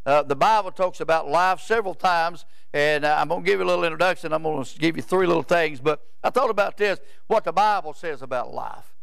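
A man's voice: on the high side, a little fast, vocal fry, hardly any pauses, fairly bright tone, clear, resonant tone, dry recording, clean studio sound. A man, preaching a rambling, folksy sermon in a church sanctuary and often stopping to ask "Amen?". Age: 70s. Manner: rambling, repeats words, asks "Amen?" of the crowd.

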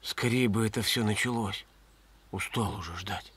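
A man playing an earnest, gloomy, portly schoolboy in a cartoon, speaking solemnly and deliberately. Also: on the low side, fairly bright tone, husky. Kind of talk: cartoon voice acting.

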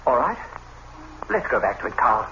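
An actor in an old-time radio drama playing a jealous, brooding husband, bitter and suspicious, with a theatrical, sardonic delivery. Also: male voice, mid-range voice, big pitch swings, normal speed, heavy background noise, close to an everyday voice. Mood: guarded. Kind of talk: old-time radio drama acting, first-person narration and dialogue. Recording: muffled vintage radio recording, loud music.

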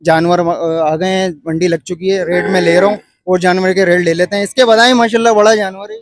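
A man in his thirties from Pakistan with a Karachi Urdu accent, filming a casual walkthrough of an outdoor cattle market on his phone and pressing sellers for lower prices. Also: fairly even intonation, brisk, dry recording, clean studio sound. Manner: chatty, pushes for a lower price. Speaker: vlogger.

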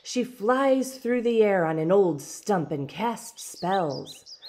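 Woman as an old village gossip, superstitious, dramatic, animated pitch, unhurried, storybook. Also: a little hiss or hum. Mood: ominous warning. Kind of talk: storybook read-aloud.

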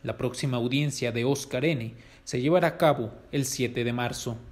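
A man's voice: mid-range voice, normal speed. A man with a Mexican accent reading scripted news narration.

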